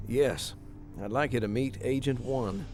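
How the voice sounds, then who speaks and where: An actor playing a formal commanding army major in a video game, giving an official briefing. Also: male voice, mid-range voice, a little slow, over music, close to an everyday voice. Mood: formal, matter-of-fact.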